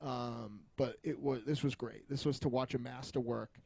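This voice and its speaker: A man's voice: mid-range voice, steady tone, moderate pace, gravelly, dark tone, breathy edge, no room sound. A man chatting casually as a podcast co-host, rambling and joking with frequent chuckles and some swearing. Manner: jokey, foul-mouthed, rambling, often chuckling.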